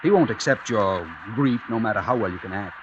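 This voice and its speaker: A man's voice: fairly low pitch, lively intonation, a little fast, natural voice. An actor performs a conflicted man pulled toward crime in a golden-age radio suspense play. He is earnest and protesting, his resolve wavering. The old recording is muffled, with faint hiss. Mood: anxious, protesting. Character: conflicted lover reluctantly drawn into murder. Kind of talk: 1940s-50s radio drama acting.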